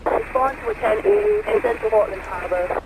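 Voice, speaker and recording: A police dispatcher over a game's radio effect. The delivery is urgent and clipped, high in pitch and wildly varied, buried in loud gunfire and noise. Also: male voice, wildly swooping pitch, fairly quick, creaky, exaggerated voice.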